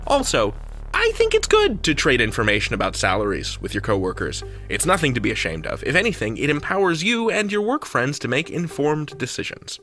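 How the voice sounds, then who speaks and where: A man's voice: a little high, huge pitch swings, a little fast, bright, forceful tone, soft background music. A man hosting a podcast in a chatty, comic style, playful and conversational. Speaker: podcast host.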